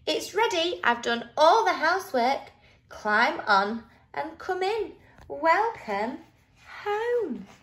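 A woman's voice: high-pitched, very animated, slow pace, a bit bright, roomy sound, pitched above the performer's own voice, full character voice. A woman voicing an eager, house-proud little bristleworm in a storybook, friendly and coaxing. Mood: welcoming.

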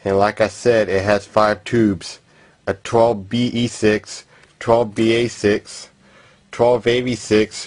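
A man giving a casual, matter-of-fact demonstration as a hobbyist, talking plainly from behind a camera indoors. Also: fairly low pitch. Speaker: vintage radio hobbyist demonstrating a repair. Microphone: camera microphone.

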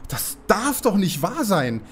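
A man playing a bewildered, whiny young detective in a parody audio drama, with a husky voice. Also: high voice, wildly swooping pitch, takes it slowly, slight background noise, full character voice. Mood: groggy, alarmed.

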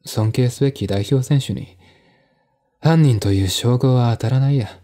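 A man voicing a cynical, composed male writer with dry, sardonic, measured delivery in a dramatized mystery reading. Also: medium pitch, mellow, lightly acted. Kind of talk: dramatized audiobook dialogue.

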